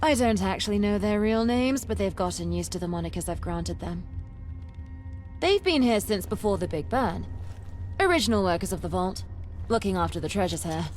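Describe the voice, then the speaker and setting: A woman performs an eccentric young female treasure hunter for a video game. Her delivery is highly animated and chatty, full of boastful, dramatic emphasis. Quiet music plays behind her. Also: normal speed, lightly acted. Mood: breezy introduction. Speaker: game companion character. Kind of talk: theatrical video game voice acting.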